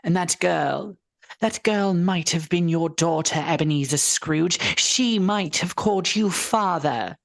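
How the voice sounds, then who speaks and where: An actor playing a grave, relentless ghost in a vintage-style radio drama, speaking in a solemn, measured way. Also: male voice, on the high side, vocal fry, fairly bright tone, no room sound. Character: solemn, relentless ghost showing a miser his past.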